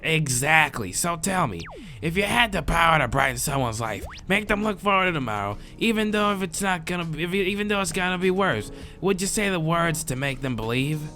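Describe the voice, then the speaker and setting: A laid-back moth monster with a high-pitched surfer drawl, acted out by a man. He is slangy and friendly, a philosophical game character. Soft music plays behind him. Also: expressive, fairly quick. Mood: laid-back, animated. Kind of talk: visual novel character read aloud.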